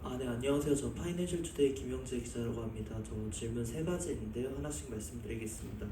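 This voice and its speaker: A man's voice: medium pitch, quick pace. A man, a reporter at a press conference, politely putting his questions one by one. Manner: polite, methodical, numbered questions. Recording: echoey hall from far off, faint noise.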